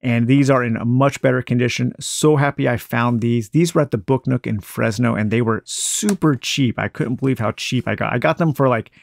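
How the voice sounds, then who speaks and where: Man, gravelly, dry close sound, enthusiastic book haul chat, mid pitch. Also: no echo. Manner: enthusiastic, unhurried, many uh fillers. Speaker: book collector showing a book haul. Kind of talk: casual book haul chat with a read-aloud passage. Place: indoors at a table with books.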